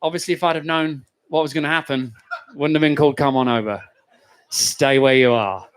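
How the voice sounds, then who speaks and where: A man's voice: on the high side, a little fast, a little hiss or hum. A man chatting between songs in a live radio session, introducing his band's song with a joking, self-mocking manner and plenty of fillers.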